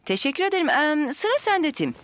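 Gentle cartoon preschool teacher: lilting, high, encouraging, dry close recording. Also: female voice, huge pitch swings, fairly quick, no echo, heavily acted. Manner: coaxing, sing-song praise to children. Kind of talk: children's cartoon dub.

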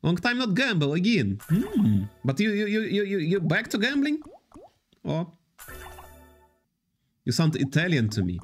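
A man in his thirties hosting a live slots stream from an indoor room, talking loosely to his chat in halting, repetitive English. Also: sits high, huge pitch swings, soft background music. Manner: reads and answers chat, loose non-native English with repetitions.

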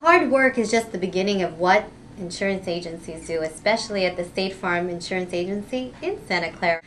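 A woman's voice: lowish voice, fairly bright tone, slight background noise. A woman in her thirties hosting a promotional community TV interview, speaking enthusiastically into a handheld mic in an office. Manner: enthusiastic, spells out web address slowly. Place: office room with red wall.

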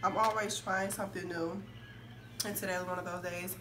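A young woman with a somewhat hoarse, congested voice chatting casually and emphatically to camera as a beauty vlogger. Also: on the low side, little pitch movement, brisk. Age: young adult. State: hoarse from a cold, clearing throat. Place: indoor room with curtains. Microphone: phone facing her, a few feet away. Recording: distant phone mic, noisy room.

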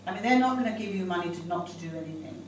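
A woman's voice: fairly low pitch, very fast. Middle-aged Finnish woman in an echoey room. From Finland. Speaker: panel speaker, institution director. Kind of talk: informal panel discussion. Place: indoor conference room. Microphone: handheld microphone. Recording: echoey room, distant, faint noise.

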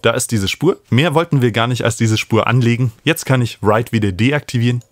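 A man, explaining music software as an instructor in a tutorial. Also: medium pitch, a little fast, dry recording. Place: small home studio, indoors. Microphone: studio microphone up close.

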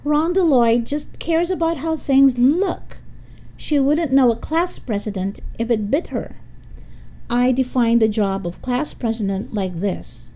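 A woman's voice: fairly high pitch, sing-song, measured pace, warm tone, pitched above the performer's own voice. A woman voicing a brash, tough schoolgirl with a sneering, punchy, emphatic delivery, as in a storybook reading. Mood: cocky.

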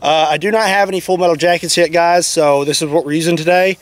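A man's voice: on the high side, fairly even intonation, fast, no echo. A young man with a Kentucky accent, talking casually and plainly as a host while outdoors in an open field. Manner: plainspoken, easygoing, thinks aloud. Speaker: shooting channel host. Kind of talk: casual outdoor demo narration. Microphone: camera mic, sometimes at a distance.

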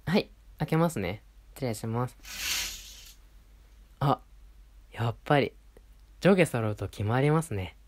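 A man's voice: medium pitch, expressive, takes it slowly, long pauses. A man playing a polite, attentive clothing shop assistant in a roleplay audio, speaking courteously to a regular customer. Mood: helpful, friendly. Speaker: voice performer in a situation voice audio. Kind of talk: Japanese situation-voice roleplay addressed to the listener.

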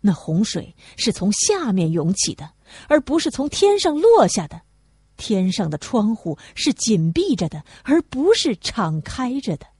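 A woman's voice: a little high, very animated, a little slow, darkish tone, breathy edge, no echo, clean studio sound. A woman narrating a literary audiobook, reading from a script.

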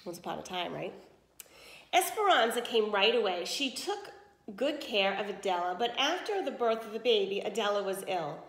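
A woman's voice: mid-range voice, sing-song, normal speed, a bit bright. Woman reading to children, roomy echo. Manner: explanatory, checks understanding with questions. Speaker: read-aloud storyteller, teacher. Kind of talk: reading a picture book aloud to children with teaching asides.

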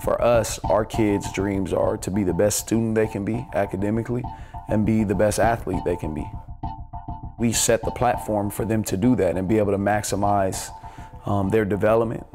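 Low-voiced relaxed coach talking. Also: male voice, normal speed, music in the background. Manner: relaxed, conversational, many fillers. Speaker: head basketball coach. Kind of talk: casual documentary interview.

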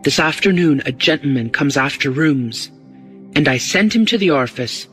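A man's voice: fairly high pitch, expressive, normal speed, a bit bright, faint music behind. Man putting on a gossipy London porter. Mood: confiding, eager. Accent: London working-class. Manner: chatty, confiding, deferential. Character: glib, eager, gossiping working-class London porter.

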